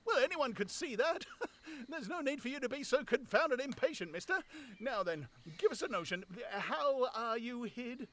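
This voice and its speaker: A man voicing an excitable rustic tramp who yelps his lines high and loud. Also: moderate pace. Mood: scoffing. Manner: high squawking yelps, exclamatory. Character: excitable rustic male tramp, gruff and bewildered.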